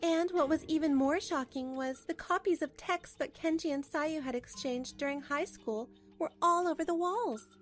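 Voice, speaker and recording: Wronged wife, firm and pragmatic, played by a woman with a high voice, expressive manga-dub narration over faint music. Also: moderate pace, hardly any pauses, natural voice. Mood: hurt, weary. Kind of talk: dramatic first-person narration for a manga dub.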